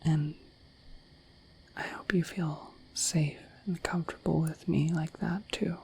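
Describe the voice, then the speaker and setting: A woman voicing a shy, affectionate dorky dragon in an ASMR roleplay, speaking in a gentle, hesitant, breathy whisper. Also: low voice, fairly even intonation, slow pace, full character voice, low-quality recording. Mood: shy, sincere. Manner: gentle, hesitant, slightly bashful, intimate.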